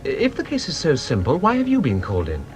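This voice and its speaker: An actor playing an earnest, reserved English gentleman in a British radio drama, formal and polite, with an English accent. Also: on the high side, wildly swooping pitch, fast talker, faint music behind. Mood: stiff, doubtful.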